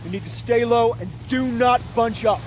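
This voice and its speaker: An urgent squad leader in a war game, calling forceful instructions to soldiers. His voice is pushed very high over clear rushing, rumbling noise. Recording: noisy outdoor rumble over voice.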